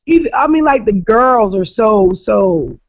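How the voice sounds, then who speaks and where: A woman from Jacksonville, Florida with a raspy voice, telling profane stories in casual chat as a comedian guest, often laughing loudly. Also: on the high side, expressive, a little slow. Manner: brash, profane storytelling with loud laughter. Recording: dull lo-fi podcast audio.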